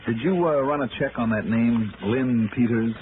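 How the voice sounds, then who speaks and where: An actor playing a stubborn, wry insurance investigator in a 1960s radio noir drama, brisk and insistent. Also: male voice, medium pitch, noisy background, natural voice. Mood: pressing. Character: stubborn, wry freelance insurance investigator, hard-boiled and persistent. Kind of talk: 1960s radio noir acting and first-person narration. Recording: tinny narrow-band old radio broadcast, music.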